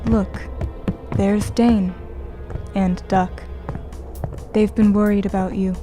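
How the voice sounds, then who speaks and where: A woman plays an insinuating female game guide in an audio drama. She narrates slowly and calmly in a medium pitch, coaxing the listener, with music underneath. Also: close to an everyday voice. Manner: measured, coaxing. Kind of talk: scripted second-person narration in audio drama.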